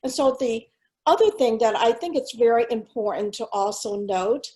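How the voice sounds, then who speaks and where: A middle-aged woman whose first language was Cantonese, a school superintendent giving a keynote talk over slides in a measured, earnest way, pausing with fillers. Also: a little high, mellow. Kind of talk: presentation with slides.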